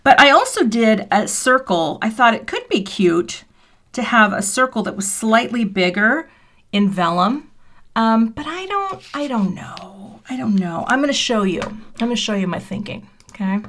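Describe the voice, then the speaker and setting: A woman in her fifties talks casually while making a card on a livestream. She is chatty and self-deprecating, and she drops into quiet, half-whispered mutters as she works. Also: fairly high pitch, sing-song, moderate pace. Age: 50s. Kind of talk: casual crafting livestream chat. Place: home craft room.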